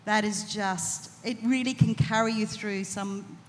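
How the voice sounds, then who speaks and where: A woman's voice: medium pitch, steady tone, unhurried, slight background noise. A woman speaking earnestly in a casual church panel chat. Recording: hall with long reverb.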